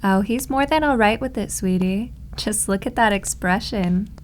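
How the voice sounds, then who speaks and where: Woman playing a yandere maid gf, medium pitch, cooing and teasing, possessive, asmr roleplay. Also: normal speed, slight background noise, lightly acted. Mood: cooing, affectionate.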